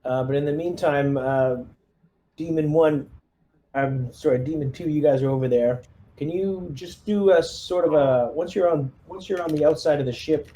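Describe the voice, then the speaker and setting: A man's voice: fairly high pitch, normal speed, mellow. A man playing a squad commander in a tabletop game, giving orders in a casual, hesitant, polite manner.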